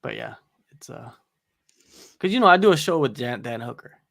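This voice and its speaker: A man's voice: mid-range voice, animated, moderate pace, creaky, a bit bright, no room sound, clean studio sound. A man who interviews fighters, chatting casually on a livestream in a loose, rambling way with lots of fillers. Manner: laid-back, rambling with many fillers. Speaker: MMA interviewer and podcast co-host.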